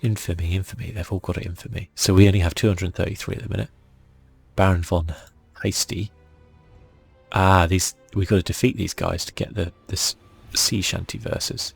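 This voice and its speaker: Deep, gravelly man doing ASMR gaming. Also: steady tone, normal speed, faint music behind. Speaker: gaming channel host. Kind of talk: ASMR video game commentary.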